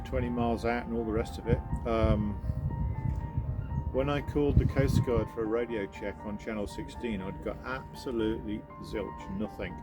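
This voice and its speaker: Male how-to vlogger, fairly deep voice, unscripted and hesitant step-by-step delivery, music playing underneath. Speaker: sailing vlogger. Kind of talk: informal how-to explanation.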